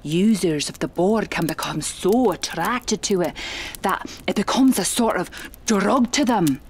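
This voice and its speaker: A woman playing a seasoned, genteel spiritualist medium in a radio play, speaking in a measured, dramatic way. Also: mid-range voice, expressive, slight background noise, close to an everyday voice. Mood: ominous.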